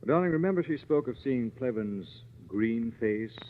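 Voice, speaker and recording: An actor playing an assured gentleman detective who explains patiently, in 1940s radio drama style. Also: medium pitch, animated, moderate pace, narrow, tinny audio, slight background noise, close to an everyday voice. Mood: calm, explaining. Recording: thin, band-limited old radio recording.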